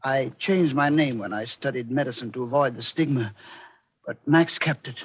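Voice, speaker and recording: Husky scheming old doctor, played by a man. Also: medium pitch, normal speed, close to an everyday voice. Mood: bitter confession. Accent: American. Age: older. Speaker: radio actor. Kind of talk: 1940s radio mystery acting. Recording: muffled old radio recording.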